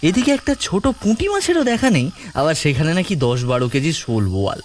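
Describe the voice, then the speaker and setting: An actor playing a grumbling, excitable young village man who mutters to himself in an audio horror story. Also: a little high, fairly quick, a little hiss or hum. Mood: irritated, sulky. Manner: muttering to himself, colloquial, exclamatory.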